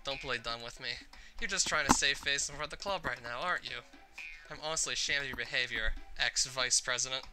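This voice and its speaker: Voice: mid-range voice, a little fast, bright, forceful tone. A reader playing a stern girl club president, condescending, scolding, sarcastic, visual novel, music behind. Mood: accusing.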